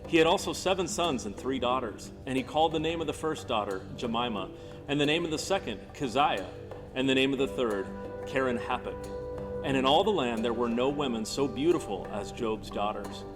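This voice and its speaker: A man's voice: mid-range voice, animated, fairly quick. Man narrating scripture over music. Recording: reverberant hall sound with music behind. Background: clear instrumental music.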